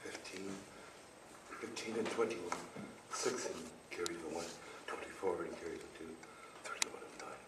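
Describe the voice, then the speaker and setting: A man's voice: low-pitched, normal speed, natural voice. A man playing a meek, apologetic clerk in a theatrical radio play, speaking deferentially. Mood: muttering, busy. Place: stage of a showcase theater. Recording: echoey theater, distant mic, faint noise.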